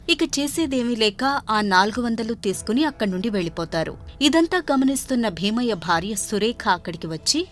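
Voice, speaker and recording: A woman with a fairly high voice narrates a moral tale in a scripted storybook style. Soft music plays behind her.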